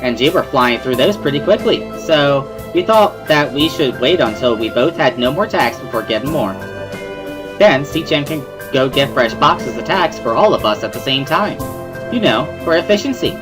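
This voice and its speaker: A man voicing a bubbly, playful, energetic teenage schoolgirl in an amateur visual novel reading. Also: medium pitch, moderate pace, natural voice. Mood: chatty, explaining. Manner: playful, cheery, exclaiming. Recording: music behind the voice.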